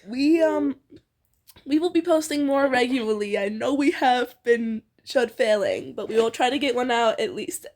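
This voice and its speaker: High-voiced, giggly young woman reading aloud. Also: normal speed, darkish tone. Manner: giggly, playful, casually sweary. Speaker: co-reader on a fan fiction reading channel. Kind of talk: informal fan fiction read-aloud with casual banter.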